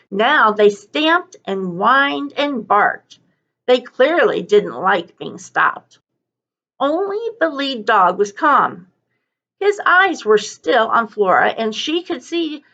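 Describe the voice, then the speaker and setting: A woman narrates a children's story in a bright, clearly projected voice at an unhurried pace. Her emphasis is deliberate and dramatic, and the recording is slightly dull and lo-fi. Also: on the high side. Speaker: teacher reading a book aloud.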